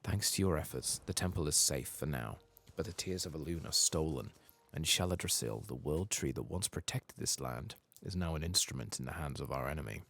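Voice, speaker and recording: Man voicing fantasy game priestess, grave, sorrowful, measured, soft-spoken, very low pitch, creaky edge. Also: animated, full character voice. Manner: solemn, formal, measured.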